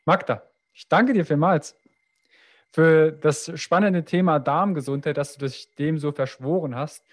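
A male podcast host with a slightly raised pitch. He speaks in a friendly, brisk way as he wraps up the episode. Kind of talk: podcast interview.

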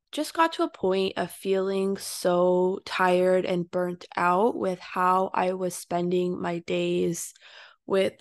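A young woman hosting her own podcast, reflecting aloud in a soft, unhurried way with fillers and restarts. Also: fairly low pitch, no echo, clean studio sound. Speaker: podcast host. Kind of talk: reflective solo podcast monologue.

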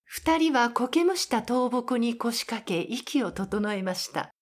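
A woman voicing a calm storyteller, narrating a scripted Japanese audio drama. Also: a little high, takes it slowly. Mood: calm narration.

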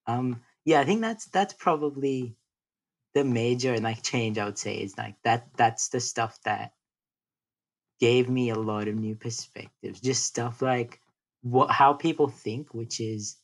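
A young man from North India, chatting casually as a podcast guest in a relaxed, rambling way full of fillers and restarts. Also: medium pitch, a little slow, vocal fry. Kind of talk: casual interview chat.

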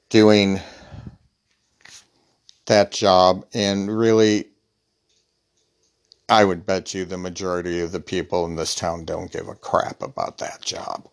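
Wry rambling man, lowish voice. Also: gravelly. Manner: rambling, wry, self-deprecating. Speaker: podcast host. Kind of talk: casual solo monologue.

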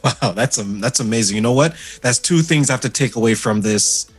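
A man hosts a podcast over a video call, his voice at a middle pitch. He talks in a relaxed, conversational way and chuckles often, with faint music in the background. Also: a little fast, breathy edge. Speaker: podcast host. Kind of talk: casual interview chat.

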